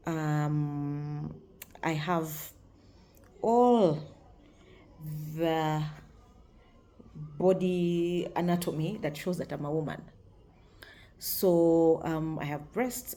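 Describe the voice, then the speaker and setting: A woman in her forties with a deep voice, talking frankly and cheerfully to camera in a casual vlog, laughing often. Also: creaky, mellow, a little hiss or hum. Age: 40s. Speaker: vlogger.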